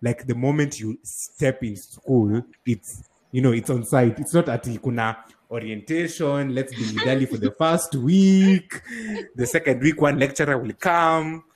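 A man hosts a podcast and asks easygoing questions. His voice sits at a middle pitch. He talks in a relaxed, conversational way. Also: unhurried. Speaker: podcast host. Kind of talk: interviewing on a podcast.

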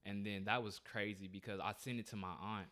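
A young man with a Texan accent, chatting casually as a podcast guest, relaxed and peppered with "bro" and "like". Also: lowish voice. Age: young adult. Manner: laid-back, says "bro" and "like" often, quick bursts. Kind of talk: casual chat.

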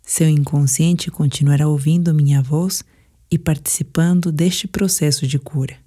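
Woman, Brazilian accent, low-pitched, warm dark tone, calm measured sleep-meditation narration, softly breathy endings. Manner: calm, soothing, measured delivery. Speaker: guided meditation instructor.

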